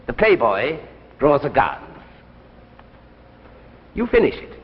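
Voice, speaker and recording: An actor playing an older, courteous and persuasive successful author in a 1950s radio drama, with a theatrical, gracious manner. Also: male voice, mid-range voice, big pitch swings, slow pace. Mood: dramatic, suspenseful. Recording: muffled, hissy old radio recording, reverberant.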